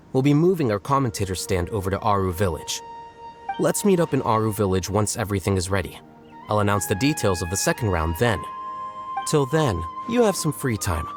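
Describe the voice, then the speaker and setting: A man voicing a composed, aloof young official in a video game, announcing matters formally and with detachment. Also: on the low side.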